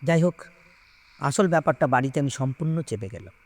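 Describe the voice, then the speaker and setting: A man narrating a scripted ghost story in the first person, in a dramatic, expressive way. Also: medium pitch, fairly quick, no echo.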